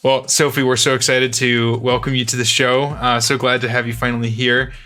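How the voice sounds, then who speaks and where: A man's voice: mid-range voice, little pitch movement, a little fast, pushed and bright, faint music behind. A man hosting a podcast, friendly and upbeat, asking his guest questions. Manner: friendly, upbeat, laughs easily. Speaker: podcast host. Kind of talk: conversational interview.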